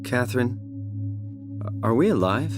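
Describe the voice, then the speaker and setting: A man's voice: mid-range voice, expressive, a little slow, natural voice. A man with a North American accent playing an anxious, doubting everyman in a video game, talking in a questioning, hesitant, emotional way. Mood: searching, uneasy. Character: anxious, doubting everyman lost in a hostile world. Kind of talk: video game voice acting, naturalistic dialogue. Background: music.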